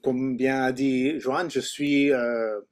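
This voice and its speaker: A man from Canada, a native English speaker with a mid-Atlantic English accent in his French, leading an informal teaching workshop and often pausing to search for words. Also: mid-range voice, fairly even intonation. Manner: halting, searching for words in a second language.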